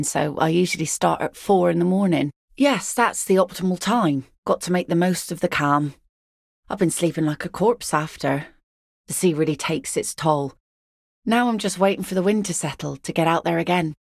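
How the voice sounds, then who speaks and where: A gravelly, blunt fisherwoman. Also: on the low side, moderate pace, dry recording. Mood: dry, wry. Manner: dry, wry and plain-spoken. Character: weathered woman net picker, blunt and dry. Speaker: fisherwoman and mother. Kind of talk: video game voice acting.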